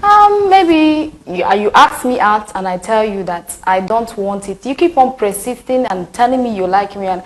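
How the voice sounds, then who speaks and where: A woman's voice: medium pitch, lively intonation, moderate pace, hardly any pauses. Ghanaian woman, Ghanaian-Nigerian mixed accent, interview guest, insistent. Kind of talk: interview answers.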